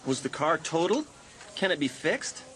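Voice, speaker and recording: Man playing highish, lively teenage boyfriend. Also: normal speed, a little hiss or hum. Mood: awkward, casual. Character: casual, slightly awkward teenage boyfriend. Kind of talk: dramatised audiobook dialogue.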